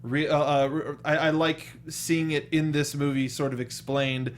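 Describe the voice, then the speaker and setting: A man's voice: on the high side, little pitch movement, moderate pace. An American man hosting an unscripted film review chat, often repeating and restarting his words. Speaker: talk show host.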